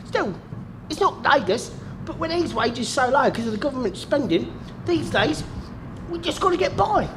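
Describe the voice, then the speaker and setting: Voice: sits high, lively intonation, moderate pace, background noise. A performer playing a matey, rambling male cab driver in a comic radio sketch. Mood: chatty grumbling. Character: chatty, grumbling male London cab driver.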